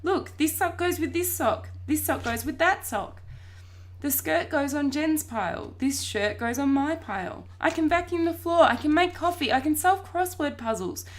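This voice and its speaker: A woman voicing a frantic, indignant young boy in a storybook, protesting loudly and emphatically. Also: high-pitched, big pitch swings, normal speed, few pauses, voice pushed up. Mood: eager, insistent.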